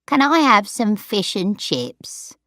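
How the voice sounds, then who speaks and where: A woman's voice: medium pitch, sing-song, slow, sharp tone, dry recording, studio quality, pitched above the performer's own voice, full character voice. A woman doing a fussy old grandmother. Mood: demanding. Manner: demanding and suspicious. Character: fussy, confused grandmother of eighty.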